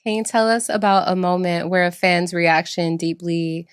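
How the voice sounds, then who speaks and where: A woman's voice: lowish voice, steady tone, normal speed, smooth, dry recording. A woman co-hosting a podcast, asking interview questions with affirming interjections in a studio. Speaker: podcast co-host.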